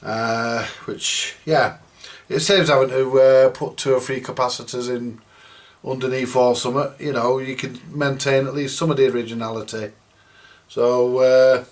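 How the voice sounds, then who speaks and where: A man giving casual, hands-on workshop commentary as host of a repair video, chatty and halting as he thinks aloud. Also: mid-range voice, level tone.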